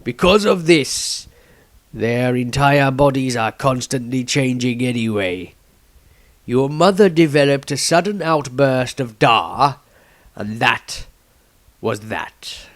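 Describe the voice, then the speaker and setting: A man performs an aged wizard master from a fantasy audiobook, grave and solemn. His words come slowly and deliberately, with gravel in the voice and dramatic pauses, recorded very dry. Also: medium pitch, animated, no echo. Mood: explaining gravely. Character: old patriarch of a wizard order, grave mentor. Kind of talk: fantasy audiobook character reading.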